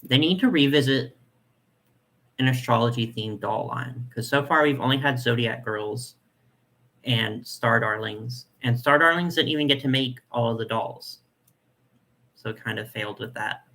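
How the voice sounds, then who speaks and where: A young man with a nasal voice hosting a casual live chat, reading comments and talking in a chatty, soft way with lots of fillers. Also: mid-range voice, normal speed. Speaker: doll collector channel host.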